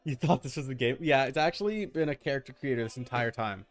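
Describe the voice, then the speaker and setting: A man's voice: medium pitch, quick pace, soft background music. A man giving casual live gaming commentary as a streamer, informal, with muttered asides and mild swearing. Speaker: video game streamer.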